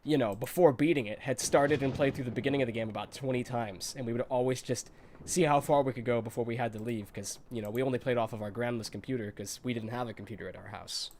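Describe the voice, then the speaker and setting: A man's voice: mid-range voice, fast talker, darkish tone, a little hiss or hum. A congested man with a cold giving chatty, nostalgic commentary while streaming a video game, laughing often.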